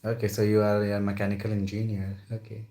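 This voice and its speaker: A young Indian man with an Indian accent speaks in a somewhat low voice and keeps the chat easy and friendly. He often fills his sentences with "like" and tags them with "right?". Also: completely flat delivery, fast talker, warm tone. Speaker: conversation partner. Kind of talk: casual conversation practice.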